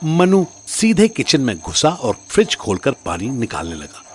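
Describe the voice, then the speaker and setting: A man's voice: mid-range voice, wildly swooping pitch, a little slow, background noise. A man narrating a scripted story in a dramatic storytelling style.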